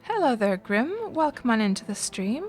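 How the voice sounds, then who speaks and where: A woman's voice: fairly high pitch, sing-song, normal speed, a little mellow, soft background music. Chatty woman streaming a game. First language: Finnish. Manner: chatty, thinks aloud with many fillers and restarts. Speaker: game livestreamer. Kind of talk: casual livestream chat, answering viewers.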